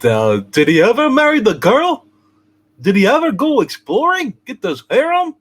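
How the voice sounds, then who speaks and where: A man performs a naive, kindly junior angel in a hammed-up radio play. The voice is extremely high and wildly swooping, hesitant and full of curiosity. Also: wildly swooping pitch, normal speed, full character voice. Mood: eager, curious. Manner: hesitant, inquisitive.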